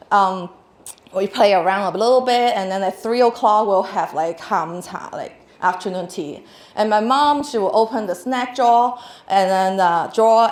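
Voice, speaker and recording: A woman in her thirties from Hong Kong, with a Hong Kong accent, giving a casual talk on stage with lots of fillers and restarts. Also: medium pitch. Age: 30s. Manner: chatty, laughing, many fillers and restarts. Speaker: speaker. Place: large hall with audience. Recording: echoey hall.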